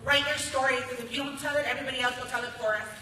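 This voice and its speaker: An American woman performing spoken word poetry live with expressive, emphatic delivery into a stage microphone. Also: sits high, rapid-fire. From Los Angeles, United States. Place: large hall at a conference ceremony. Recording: echoey hall, distant, faint noise.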